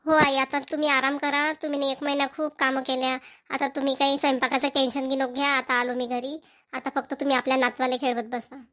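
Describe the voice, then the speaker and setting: Woman voicing a high-pitched, affectionate village woman. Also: level tone, a little fast, a bit bright. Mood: respectful, gentle. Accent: rural Maharashtrian. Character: affectionate village women, doting grandmother and dutiful daughter-in-law. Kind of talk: Marathi family cartoon voice acting.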